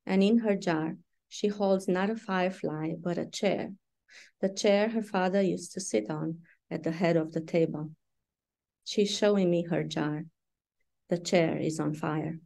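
A middle-aged woman from Romania with a Romanian accent, reading her poetry aloud at a deliberate pace over a video call from home and adding short asides between poems. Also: fairly low pitch, gravelly, warm tone. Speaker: poet. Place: room at home, indoors.

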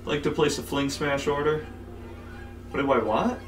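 A young man performing in a comedy sketch, delivering his lines dry and deadpan. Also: fairly high pitch, moderate pace, soft background music.

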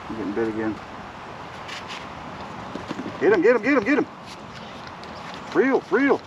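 Excitable man filming on a riverbank. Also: high voice, measured pace, highs cut off, noisy background. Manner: excited, urging a child to reel in; chatty asides to viewers. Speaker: fishing vlogger, father. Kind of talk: casual outdoor vlog chat. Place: outdoors on a riverbank. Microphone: camera on the bank, a few steps away.

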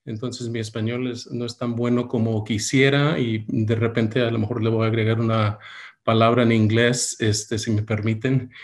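Hombre de mediana edad, titubeante. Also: male voice, mid-range voice. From United States. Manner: hesitant, many fillers and restarts. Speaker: workshop speaker. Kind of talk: webinar presentation. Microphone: video call.

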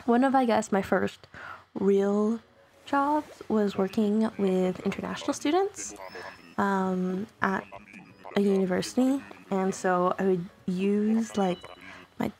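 A woman, raised speaking Tagalog, chats casually over gameplay in a mid-pitched voice with a gravelly fry in it. She rambles and drifts between thoughts, laughing at herself as she goes. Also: slow pace, darkish tone, slight background noise. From Canada. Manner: chatty, rambling, self-conscious laughs. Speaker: gaming YouTuber.

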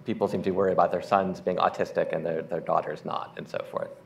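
A man's voice: low voice, level tone, fast talker, vocal fry, slight background noise. A man giving a casual keynote demo in a lecture hall, with wry asides. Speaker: conference keynote speaker. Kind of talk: live demo presentation.

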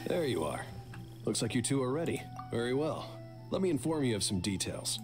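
An actor playing a courteous, formal research official in a video game, explaining in measured, scripted lines. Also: male voice, medium pitch, very animated, close to an everyday voice. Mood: formal, businesslike. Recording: game audio with music behind.

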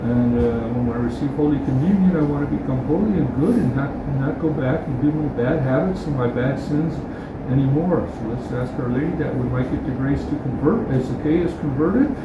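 An older man in his sixties, a priest giving a plain, halting homily with many fillers and restarts, in a small chapel. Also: mid-range voice, dull audio. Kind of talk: informal homily. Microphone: far camera. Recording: echoey chapel from far off, room noise.

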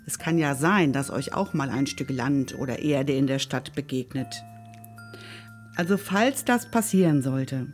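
A woman's voice: deep voice, a little mellow. A woman hosting and reading a scripted children's story expressively, speaking directly to her listeners. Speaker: storyteller and host.

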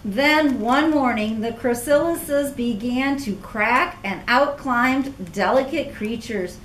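A woman's voice: on the high side, takes it slowly, fairly bright tone, recorded from a distance. An older woman reading a children's story aloud expressively through a face mask, with chatty asides. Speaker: storybook reader. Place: small classroom-like room, indoors. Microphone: camera a few metres away. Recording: echoey room, faint background noise.

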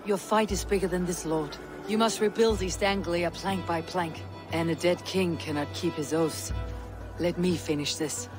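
A woman's voice: fairly low pitch, fairly even intonation, normal speed, music in the background, lightly acted. A woman voicing a stoic, resolute female Viking warrior in a video game, grave, firm and plain-spoken. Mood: firm resolve. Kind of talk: dramatic video game acting.

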